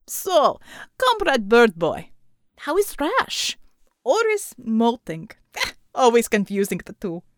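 A woman voicing a boisterous, doddering old male bear with a Russian accent and a loud, drawn-out delivery, for an audiobook. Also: fairly high pitch, huge pitch swings, no echo, studio quality, exaggerated voice. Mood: boisterous, teasing.

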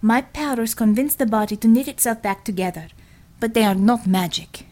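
A woman's voice: on the high side, normal speed, warm tone, natural voice. Reader lady as classy doctor woman, stern, scolding, very precise, audiobook style. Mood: restrained anger.

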